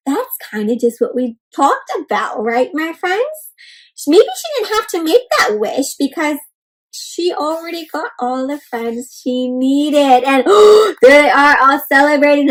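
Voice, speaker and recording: Female kids' storybook host, high-pitched, animated, interactive delivery aimed at little listeners. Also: measured pace, low-quality recording. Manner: animated, asks listeners questions, addresses them as friends. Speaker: children's read-aloud host. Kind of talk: interactive picture book read-aloud for young children.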